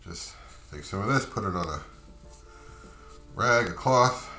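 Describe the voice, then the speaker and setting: A middle-aged man giving a casual, matter-of-fact how-to demonstration in a relaxed, conversational way, as a hobbyist vlogger. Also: lowish voice, a little slow, faint music behind.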